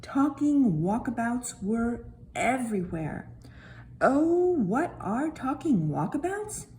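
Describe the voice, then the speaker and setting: Woman voicing a slow, wide-eyed storybook stone. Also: on the high side, sing-song, slight background noise. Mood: wondering. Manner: dramatic, hushed whispers and emphatic repetition. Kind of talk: children's Bible storytelling.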